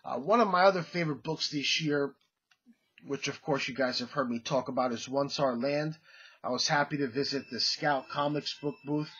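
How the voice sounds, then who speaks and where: Casting: stuffy-nosed guy, husky voice, rambling casual collector vlog, dull lo-fi sound. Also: medium pitch, normal speed, fairly bright tone. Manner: rambling, many fillers and restarts. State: congested, under the weather. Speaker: comic collecting vlogger. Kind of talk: casual show-and-tell haul video.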